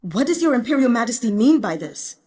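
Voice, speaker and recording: Lady doing a raw desperate general, shocked n halting, really intense, pitch all over the place. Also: sing-song, a little mellow, long reverb tail. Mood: shaken, restrained fury. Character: anguished male general, common-born, shocked, raw and desperate. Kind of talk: audiobook character acting.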